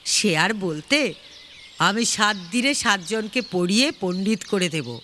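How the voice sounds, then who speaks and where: Woman playing a sly, coaxing male fox, lively pitch. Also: slow pace, background noise. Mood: smooth assurance. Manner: coaxing, mock-innocent. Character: sly, clever male fox posing as a teacher. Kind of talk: children's storybook reading.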